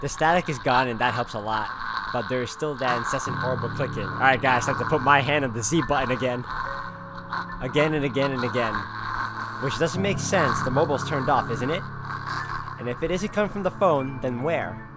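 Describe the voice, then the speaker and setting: A man's voice: mid-range voice, brisk, heavy background noise. A man hosting a game playthrough, reading the story text dramatically aloud and exclaiming at it. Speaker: gaming video host. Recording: loud game music behind voice. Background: game music.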